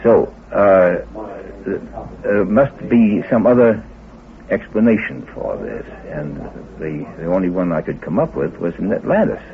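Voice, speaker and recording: A man explaining research off the cuff in halting, hesitant phrases. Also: low voice, animated, gravelly. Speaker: interviewed researcher. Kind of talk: unscripted explanation. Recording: tinny narrow audio, crowd behind.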